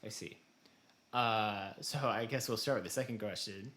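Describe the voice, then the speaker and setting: A man's voice: fairly low pitch, steady tone, normal speed, a bit bright. A man co-hosting a podcast, chatting casually about video games in a rambling, self-deprecating way with casual swearing. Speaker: podcast co-host.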